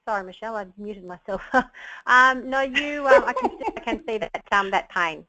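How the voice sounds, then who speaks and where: Bright-voiced webinar moderator woman. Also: fairly high pitch, expressive, moderate pace, poor audio. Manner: hesitant, stumbling over words. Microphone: web conference audio.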